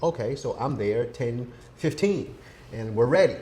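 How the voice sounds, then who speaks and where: A man's voice: mid-range voice, expressive, unhurried, warm tone. An American man in his forties, casually telling personal stories as a podcast co-host in a conversational, teasing way. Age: 40s. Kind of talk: casual chat, personal storytelling. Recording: clear background noise, room ambience.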